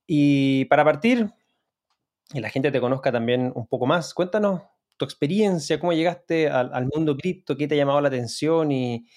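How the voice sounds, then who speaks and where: Upbeat podcast host with a raised pitch. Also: male voice, normal speed, a little mellow. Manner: upbeat, emphatic reading. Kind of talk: read sponsor ad and podcast hosting.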